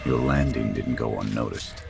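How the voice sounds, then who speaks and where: A gravelly veteran squad commander. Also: male voice, moderate pace, loud music behind the voice, full character voice. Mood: steady. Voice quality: deep gravelly voice. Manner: blunt, clipped military orders. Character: gruff veteran male soldier commander. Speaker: squad leader.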